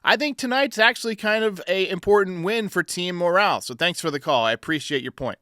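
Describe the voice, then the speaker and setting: A man's voice: high voice, lively intonation, brisk, sharp tone, no room sound, studio quality. An American man hosting a sports talk show, giving commentary in response to fan calls.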